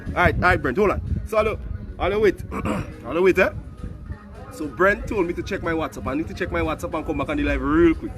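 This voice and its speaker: Hoarse Grenadian man live-streaming, high voice. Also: a little fast, a bit bright, faint music behind. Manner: joking, teasing viewers for money, bursts into sung lines. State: hoarse from a cold. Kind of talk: casual live-stream chat.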